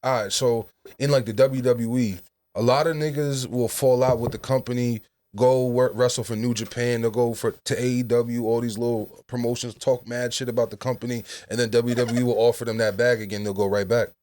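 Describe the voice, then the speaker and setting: A man's voice: mid-range voice, fairly even intonation, brisk, darkish tone, a little hiss or hum. Rambling man hosting a podcast chat. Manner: loose, rambling, restarts a lot. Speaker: podcast host. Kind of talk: casual chat.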